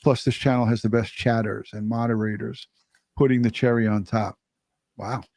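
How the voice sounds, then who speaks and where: A man's voice: medium pitch, steady tone, moderate pace, a little mellow, no room sound. A man co-hosting a livestream, reading out viewer messages and super chats in a casual, blunt way and swearing freely. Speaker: livestream co-host.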